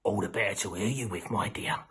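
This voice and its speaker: A man voicing a sly, smooth-talking male wolf in a storybook tale, with a husky voice. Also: on the low side, fairly quick, fairly bright tone, heavily acted. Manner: chummy, wheedling.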